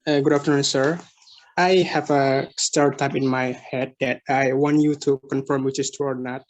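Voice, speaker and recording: A man's voice: mid-range voice, little pitch movement, moderate pace, rough recording. A man whose first language is Indonesian politely asks his teacher a careful question in an online class, searching for his words. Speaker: student.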